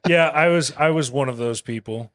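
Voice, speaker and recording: A man co-hosting a podcast, telling bar stories in a relaxed, rambling way with restarts. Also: medium pitch, normal speed. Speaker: podcast co-host. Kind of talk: casual podcast chat.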